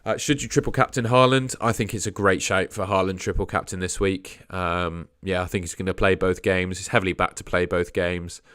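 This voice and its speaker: A young man with a sore throat hosting a casual fantasy football live stream, hedging his picks and trailing off quietly at the ends of phrases. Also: deep voice, fairly even intonation, fairly quick, few pauses, clear, resonant tone, no echo, studio quality. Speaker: fantasy football livestream host. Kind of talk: casual live stream chat answering viewers.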